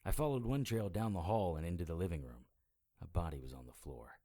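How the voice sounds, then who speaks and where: An actor playing a wry, world-weary immortal investigator in middle age, with a dry, dramatic delivery in an audio drama. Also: male voice, deep voice, fairly quick, dark tone. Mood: tense, matter-of-fact. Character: wry world-weary immortal male investigator who looks about forty. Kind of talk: audio drama dialogue and first-person noir narration.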